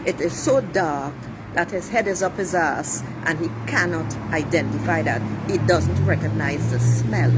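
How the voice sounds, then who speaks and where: A middle-aged woman from Trinidad with a Trinidadian accent, giving a blunt, preachy monologue to her viewers and swearing freely. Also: on the low side. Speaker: life coach livestreaming. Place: outdoors near traffic. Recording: street traffic noise behind. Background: passing cars, a siren.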